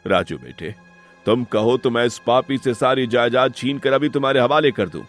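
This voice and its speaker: A man's voice: medium pitch, moderate pace, soft background music, lightly acted. Man as stern, righteous village headman. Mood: fatherly, stern. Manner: commanding, emphatic, solemn. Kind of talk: melodramatic cartoon moral-story acting.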